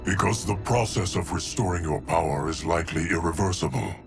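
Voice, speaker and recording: An actor playing an ancient, stoic male guardian of time who speaks in a measured, solemn way in a video game cutscene. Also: sits low, vocal fry. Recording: game audio with music bed.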